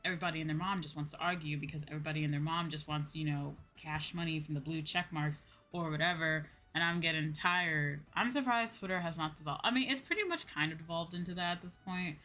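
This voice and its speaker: A woman's voice: sits low, bright, forceful tone. A woman streamer chatting casually to her livestream, with an exasperated, venting manner and some casual swearing. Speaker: VTuber streamer, host.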